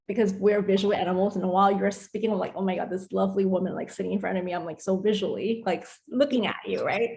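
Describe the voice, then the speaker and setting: Female host from Taiwan, mid-pitched, effusive and chatty, for a casual talk on spiritual matters. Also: steady tone, fast, creaky, hardly any pauses, a little mellow. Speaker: host of a spiritual conversation series.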